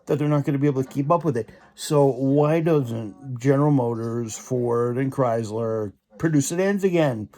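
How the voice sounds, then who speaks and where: A man's voice: medium pitch, moderate pace, hardly any pauses, mellow. A young man in a casual opinion rant, rambling with many restarts and repeated fillers. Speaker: vlogger.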